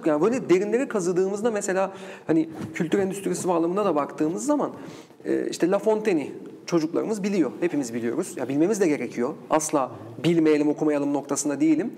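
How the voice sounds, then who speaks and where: Fast-talking man in an echoey hall. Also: fairly high pitch, expressive, a little mellow, a little hiss or hum. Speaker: guest researcher of folklore and culture. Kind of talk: conversational interview explanation.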